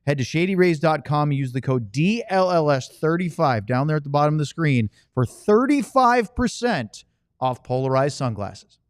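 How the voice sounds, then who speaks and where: A man's voice: a little high, expressive, normal speed, dry recording, studio quality. A man hosting a sports podcast, moving through segues and sponsor reads in a casual conversational style. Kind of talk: casual ad read and show hosting.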